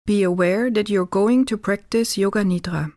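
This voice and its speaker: A woman guiding a relaxation meditation as a yoga teacher, calm and deliberate, giving gentle instructions with pauses. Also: medium pitch, dry recording, studio quality.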